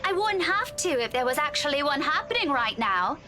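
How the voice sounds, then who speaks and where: A woman's voice: high-pitched, sing-song, a little fast. An actress playing a sassy, outspoken young woman in a video game, blunt and sarcastic. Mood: defiant sarcasm. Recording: background music.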